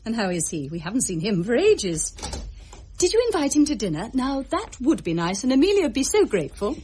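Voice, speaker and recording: A woman playing a warm, genteel Victorian wife with an English accent, effusive and gently teasing, in a period drama. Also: a little high, sing-song, brisk, a little hiss or hum. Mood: welcoming. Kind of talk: period radio drama acting.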